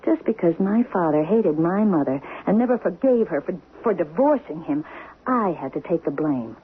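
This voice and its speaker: An actress playing a bitter, domineering, scheming woman in a 1970s radio drama, husky and sardonic, on a thin old radio recording. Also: on the low side, expressive, normal speed, narrow, tinny audio. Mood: bitter, venomous. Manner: pointed, sardonic, demanding. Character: bitter, domineering, scheming half-sister and secret lover.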